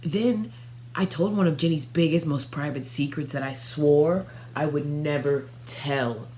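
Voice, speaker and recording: A young woman reading a children's storybook aloud, narrating expressively in the first person with dramatic pauses. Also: low voice, dark tone, slight background noise. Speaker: storybook reader. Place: small furnished room at home.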